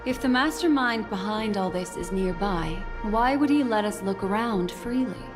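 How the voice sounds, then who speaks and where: An actress playing a composed, cryptic and shrewd woman guide in a video game, speaking in a measured, deliberate way. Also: medium pitch, expressive, over music, close to an everyday voice. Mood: grave, musing. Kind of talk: scripted video game dialogue.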